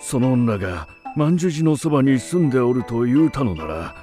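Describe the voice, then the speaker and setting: A man's voice: mid-range voice, sing-song, moderate pace, dark tone, faint music behind, natural voice. An actor playing a wary, inquisitive old man in a radio drama, with solemn, archaic elderly speech. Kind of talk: radio drama acting of a ghost tale.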